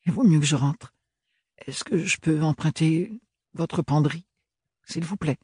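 A man reading aloud and voicing a young, clumsy, defiant woman with a rusty, hoarse voice. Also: a little high, unhurried, darkish tone, no echo, clean studio sound, voice pushed up. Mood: pleading.

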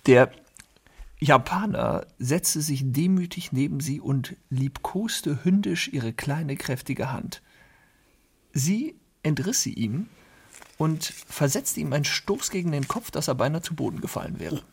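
An actor playing a narrator of a seedy Paris love tale, conversational and a little halting, as in a literary radio play. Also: male voice, fairly high pitch, animated, breathy edge, heavily acted. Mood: confiding, recounting. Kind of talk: conversational literary radio narration shared among several voices.

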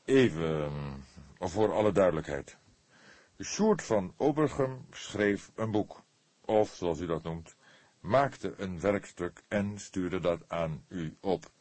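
A man voicing a calm older police detective in an audiobook, probing in a hesitant, deliberate, quietly sceptical way. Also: low voice, dry recording, exaggerated voice. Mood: puzzled.

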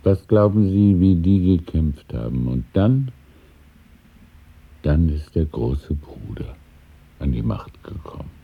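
A deep, husky, courteous old man. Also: big pitch swings, slow, long pauses, exaggerated voice. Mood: wistful. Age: older. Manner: hesitant, musing, courteous, with small chuckles. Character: deferential, wistful elderly shopkeeper, evasive and courteous. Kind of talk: 1970s German radio drama acting. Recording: muffled, phone-like old radio recording.

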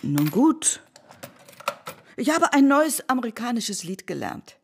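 An actress playing a stout, coquettish French widow who keeps a shop, coy and French-accented, in a radio play. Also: female voice, a little high, very animated, unhurried, slight background noise. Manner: coy, mixing in French phrases.

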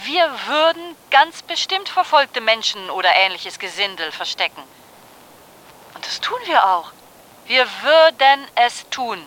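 An actress playing a self-righteous, defensive figure in a radio drama, declaiming in an emphatic, indignant manner. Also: female voice, fairly high pitch, sharp tone, low-quality recording.

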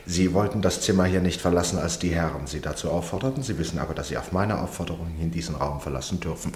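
A man's voice: sits low, quick pace, darkish tone, slight background noise, natural voice. An older man acting in a German radio play as an eccentric, genial club chairman and former judge, speaking formally and grandly. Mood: courteous, quiet. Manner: grandiloquent, precise, self-amused.

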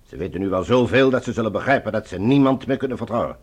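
Cold, commanding, husky male ruler. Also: fairly low pitch, expressive. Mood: calculating. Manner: authoritative, measured, condescending. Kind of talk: science fiction radio drama acting.

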